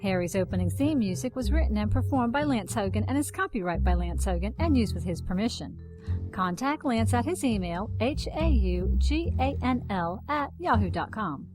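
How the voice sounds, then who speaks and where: A woman announcer reading the end credits of an audio drama in a clear, measured way. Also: medium pitch. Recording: music bed underneath.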